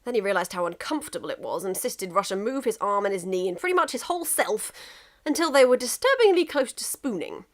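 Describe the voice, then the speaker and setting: A woman reading a scripted story aloud as an expressive narrator. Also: medium pitch, fast talker. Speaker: narrator, fan fiction reader.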